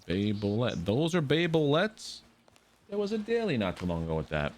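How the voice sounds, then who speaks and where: A man's voice: medium pitch, very animated, normal speed, slight background noise. A man giving casual, playful live game commentary, often talking to himself as he plays. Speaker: game streamer. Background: video game ambience, horse hoofbeats.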